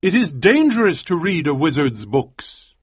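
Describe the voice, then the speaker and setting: A man voicing an ambitious scientist husband in a storybook reading, speaking in an earnest, deliberate way. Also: fairly high pitch, sing-song, breathy edge, dry recording, dull audio, pitched above the performer's own voice, heavily acted. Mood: tender. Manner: earnest, deliberate, drawn-out delivery. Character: ambitious male scientist, devoted yet obsessive husband. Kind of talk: audiobook character reading.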